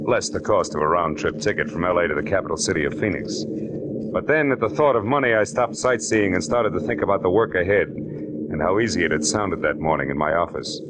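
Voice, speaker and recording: An actor playing a cynical hard-boiled private detective, narrating dryly in a 1940s radio drama. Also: male voice, low-pitched, close to an everyday voice. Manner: dry, wisecracking first-person narration. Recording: old radio broadcast with hiss.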